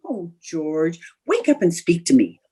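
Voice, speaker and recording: A woman's voice: fairly low pitch, huge pitch swings, measured pace, dark tone, husky, heavily acted. A woman playing a playful, scheming housewife in old-time radio comedy, with a coaxing, theatrical manner.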